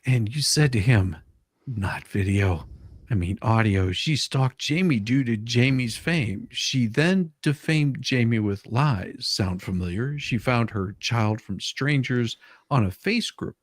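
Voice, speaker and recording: Animated, giggly host in a dry room. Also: medium pitch, slow, breathy edge, no room sound. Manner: giggly, easily cracks up between read lines. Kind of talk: dramatic reading of a deposition transcript with casual banter.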